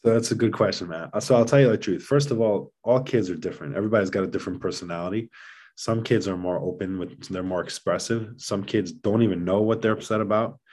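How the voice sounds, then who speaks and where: A man's voice: fairly low pitch, fast, darkish tone. A man speaking as a podcast guest in casual conversation, explaining things in an earnest, emphatic way. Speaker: podcast guest, father of seven.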